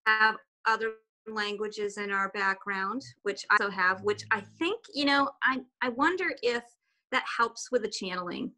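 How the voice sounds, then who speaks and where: A woman's voice: medium pitch, normal speed, bright, forceful tone, low-quality recording. A woman whose first language is German and Swiss German, chatting casually as a guest on a video call, rambling a little with restarts. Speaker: guest artist.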